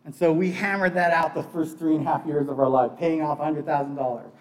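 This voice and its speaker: A man's voice: fairly high pitch, fairly even intonation, fast talker, distant mic. A middle-aged man preaching in a conversational, earnest way, sharing personal testimony and repeating phrases for emphasis. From born in Bangladesh, childhood partly in Pakistan in a missionary family. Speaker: pastor giving a sermon. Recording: echoey room.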